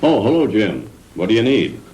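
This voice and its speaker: An actor playing an easygoing office boss in a 1960s B-movie. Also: male voice, on the low side, big pitch swings, normal speed, darkish tone. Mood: easygoing, teasing. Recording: noisy old film soundtrack.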